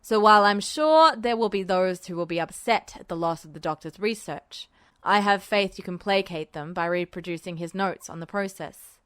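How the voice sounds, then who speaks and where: A woman's voice: fairly low pitch, moderate pace, smooth, dry recording, pitched below the performer's own voice, natural voice. A woman voicing a smug, unflappable male military officer, dry and teasing as she reads the story aloud. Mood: smug, unruffled. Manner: dry, teasing, calmly commanding. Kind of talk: podfic character voice.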